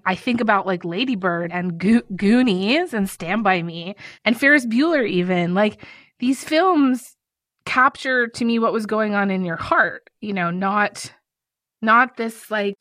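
A woman co-hosting a casual discussion, talking in a conversational, animated way. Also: medium pitch, no echo, studio quality.

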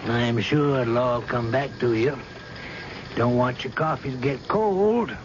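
A man's voice: mid-range voice, unhurried, close to an everyday voice. A man playing a suspicious, insistent police chief interrogating a suspect in a radio drama. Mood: coldly needling. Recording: dull, noisy old radio broadcast.

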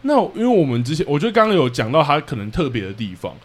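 A man's voice: fairly high pitch, big pitch swings, rapid-fire. A man with a Taiwanese accent hosting a casual interview, putting questions to his guest. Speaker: podcast host, interviewer.

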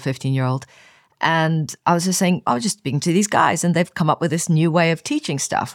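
A host in their forties leads the conversation with eager, lively interest and a mid-range voice. The recording is dry, with almost no room sound. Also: mid-range voice, no echo. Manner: enthusiastic, lively questioning. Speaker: podcast host.

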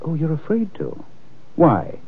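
A suave gentleman sleuth in a vintage radio drama. His husky voice swings freely in pitch as he delivers wry understatement, all through a tinny, narrow old broadcast sound. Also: sing-song, heavily acted. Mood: wry, probing. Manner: dry, wry, unhurried understatement. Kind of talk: 1940s-50s radio drama acting. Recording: tinny, muffled old-time radio recording.

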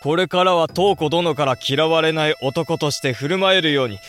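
A man's voice: on the high side, normal speed, a bit bright, close to an everyday voice. A man playing an earnest, self-deprecating young samurai lord in a Japanese period audio drama, speaking in formal archaic style. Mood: bashful, wry.

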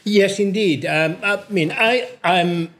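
Older male interview guest, Spanish speaker. Slightly high voice, emphatic and effusive, repeats himself as he talks. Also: slow pace, a bit bright. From Mexico. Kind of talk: conversational interview answers.

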